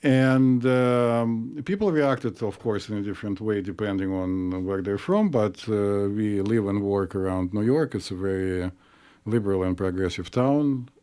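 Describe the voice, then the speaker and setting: A man with a Russian accent, co-hosting a psychology podcast in a measured, explanatory way with frequent pauses. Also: on the low side, fairly even intonation, warm tone, smooth. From Soviet Union. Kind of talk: casual podcast discussion.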